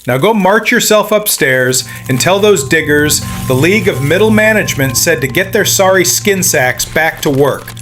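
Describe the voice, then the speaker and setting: A blustering alien mine boss, played by a man, pitched fairly high and swinging widely as he sneers. He is an arrogant, contemptuous bully in a motion comic, with faint music behind him. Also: animated, normal speed, few pauses, fairly bright tone. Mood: contemptuous, threatening.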